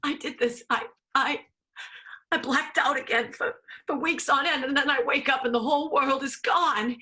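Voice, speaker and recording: A woman's voice: high voice, normal speed, sharp tone. A woman acting a tormented, melodramatic stage manager in an improvised radio soap, overwrought and repeating words for drama. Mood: anguished, haunted.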